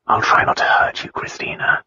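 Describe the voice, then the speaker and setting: An intense, tender male lover in a romance audiobook, acted out by the narrator. The voice is urgent and intimate, sharp-edged and often whispered, on a slightly dull recording. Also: moderate pace. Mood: tender, remorseful. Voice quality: breathy, whispered. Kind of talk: romance audiobook character dialogue.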